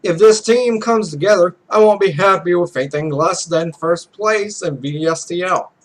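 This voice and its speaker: A woman's voice: lowish voice, moderate pace, natural voice, low-quality recording. A man voicing a blunt, demanding young female pro gamer with a curt, commanding manner in a visual novel. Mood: stern.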